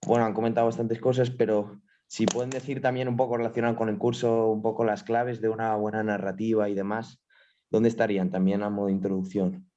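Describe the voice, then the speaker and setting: A man's voice: lowish voice, level tone, fairly quick, warm tone. A man from with the of that country, politely and casually asking a question from the audience. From Spain. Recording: dull, muffled online-call audio.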